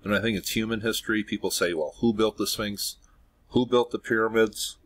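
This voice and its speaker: A man's voice: on the low side, steady tone, fairly quick. A man narrating his own screen recording in an earnest, speculative way, leaning often on "obviously". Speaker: video host.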